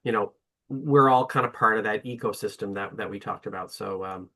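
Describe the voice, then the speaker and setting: Male panelist, bright tone, halting with lots of fillers. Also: lowish voice. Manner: hesitant, many fillers and restarts. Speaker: orchestra representative. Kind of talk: webinar panel talk.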